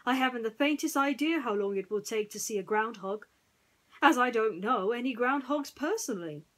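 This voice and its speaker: A woman's voice: fairly high pitch, lively intonation, normal speed, fairly bright tone. A woman voicing a simple, good-natured male bear in a storybook, eager and emphatic. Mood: matter-of-fact.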